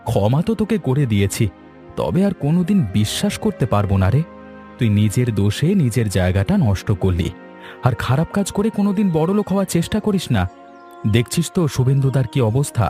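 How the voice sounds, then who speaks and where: A man's voice: mid-range voice, big pitch swings, a little fast, mellow, faint music behind, natural voice. A man voicing a wronged young village man in a cartoon, solemn and moralizing. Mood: grave, disappointed. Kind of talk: cartoon voice acting.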